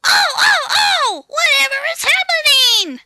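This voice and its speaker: A woman voicing silly, noisy railway trucks that squeal and shout in a storybook reading. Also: extremely high pitch, expressive, slow pace, voice pushed up, heavily acted. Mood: shrieking.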